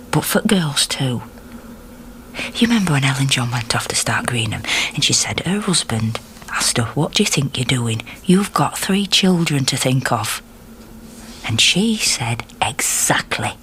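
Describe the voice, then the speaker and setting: Hushed, steadfast Northern English miners' wife. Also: female voice, extremely low pitch, slight background noise, full character voice, rough recording. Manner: hushed, earnest, persuasive. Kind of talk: naturalistic radio drama acting.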